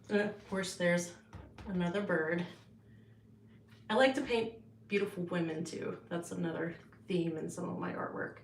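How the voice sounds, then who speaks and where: A woman's voice: fairly low pitch, roomy sound, a little hiss or hum. A woman artist casually showing her paintings on a livestream, rambling with many fillers and restarts, in her home art studio.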